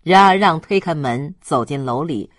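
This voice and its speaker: An audiobook narrator reading a literary story in a measured, dramatic storytelling manner. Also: mid-range voice, expressive, no echo, clean studio sound.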